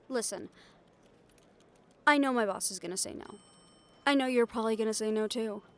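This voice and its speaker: Woman acting brash, higher-voiced young female reporter. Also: normal speed, lightly acted. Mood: insistent, persuasive. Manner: sarcastic, cocky, exasperated, conversational. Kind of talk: improvised audio roleplay acting.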